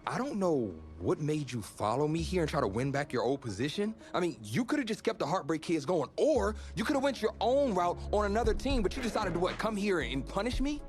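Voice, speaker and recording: A man's voice: high voice, very animated, a little fast, few pauses, soft background music. A man playing a proud, defensive young college quarterback in a naturalistic video game cutscene. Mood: accusing. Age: young adult. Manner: defensive, argumentative.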